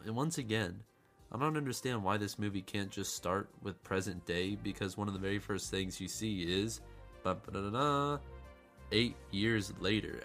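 A man's voice: fairly low pitch, fairly bright tone. A man who is a little under the weather and snuffly, hosting a casual, joking movie-review podcast and swearing freely. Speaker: podcast host reviewing films.

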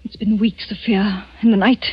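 An actress playing a frightened wife of a killer in 1940s radio drama, pleading breathlessly and dramatically. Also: female voice, mid-range voice, steady tone, moderate pace, breathy edge, dry recording. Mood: anxious, confiding. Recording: muffled 1940s radio broadcast, music behind.

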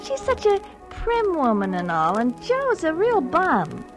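A woman's voice: sits high, huge pitch swings, measured pace, heavily acted. An actress playing a sassy, chatty young working-class girlfriend in a vintage radio drama, with slangy delivery. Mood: affectionate, wondering. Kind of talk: 1950s radio drama acting. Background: music.

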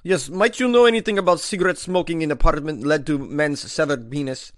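A man's voice: a little high, fairly quick, dry recording, studio quality, close to an everyday voice. Man faking a Russian accent, phone caller, broken clipped English, insistent. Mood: insistent, puzzled. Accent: put-on Russian. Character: man with a put-on Russian accent phoning police.

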